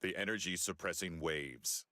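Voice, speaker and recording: A man playing a calm, stoic male android fighter in a video game, speaking in a measured, formal, deadpan way over loud music. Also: low-pitched, no echo, studio quality. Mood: grave, measured. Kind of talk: English video game voice acting.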